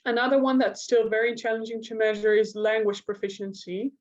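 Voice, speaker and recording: A woman's voice: a little high, fairly even intonation, a little fast. Dutch-accented woman lecturing, slightly dull audio. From Netherlands. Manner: explanatory, illustrates points with examples. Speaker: clinical neuropsychologist giving a lecture. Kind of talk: academic presentation, semi-spontaneous.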